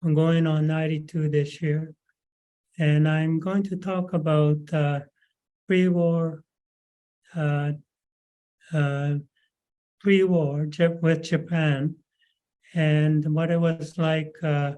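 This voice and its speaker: A man in his nineties from Canada, recalling his childhood in a slow, halting way with many pauses and fillers. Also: on the high side, level tone, mellow, rough recording. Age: 90s. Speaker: webinar speaker. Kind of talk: recalled life story.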